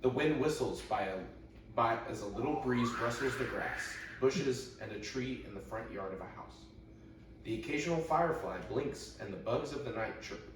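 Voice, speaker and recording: A man's voice: medium pitch, animated, normal speed. A young man at a table read, casually reading stage directions aloud as an amateur. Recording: echoey room, distant mic, faint noise.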